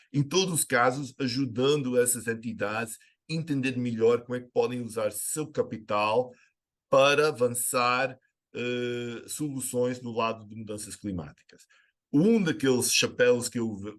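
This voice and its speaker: A middle-aged American man speaking Portuguese with a slight Brazilian tinge, answering as a guest expert over a remote call, hesitant with many filler sounds and restarts. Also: medium pitch. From United States. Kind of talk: conversational interview answers. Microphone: remote call link.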